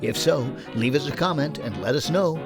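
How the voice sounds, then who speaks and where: A man's voice: mid-range voice, expressive, normal speed. A man hosting, reading scripted credits and a sign-off. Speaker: host announcer. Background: music.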